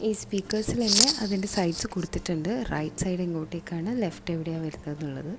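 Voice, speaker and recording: A woman's voice: lowish voice, fairly even intonation, quick pace, heavy background noise. A woman with a Malayali accent gives a hands-on, step-by-step installation demonstration in a conversational way, mixing in English terms. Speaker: presenter of a product installation tutorial. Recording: music playing behind the voice. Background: clearly audible background music.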